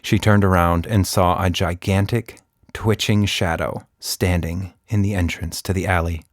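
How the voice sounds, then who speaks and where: A man narrating a children's story podcast in an expressive storytelling style. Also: low voice, a little slow, dry recording, studio quality.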